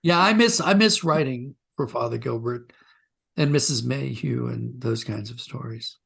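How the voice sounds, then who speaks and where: A man, a writer being interviewed, talking reflectively and haltingly as he rephrases his thoughts. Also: mid-range voice, animated, vocal fry, a bit bright, slightly rough.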